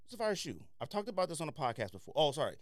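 A man's voice: a little high, big pitch swings, very fast, no echo, clean studio sound. A man hosting a podcast, giving casual sneaker reviews in an opinionated, slangy way and repeating points for emphasis. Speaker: podcast host.

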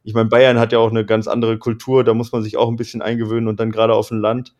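A man's voice: lowish voice, completely flat delivery, quick pace, darkish tone, lo-fi sound. Chatty German interviewer man. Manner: chatty, asks leading questions with "oder?". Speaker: interviewer, podcast host. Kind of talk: casual interview.

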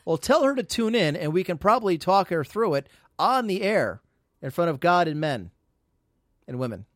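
Male podcast host, slightly high voice, dry close sound, rambling and teasing. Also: very animated, normal speed, dry recording. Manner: rambling, teasing, self-mocking boasts. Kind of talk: casual live podcast chat.

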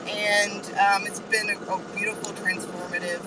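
A woman's voice: medium pitch, level tone, normal speed. A woman in her forties, a truck driver, introducing herself in a casual audio chat in a candid, self-deprecating way with many ums while driving. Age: 40s. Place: truck cab on the road. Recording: distant mic with loud road noise.

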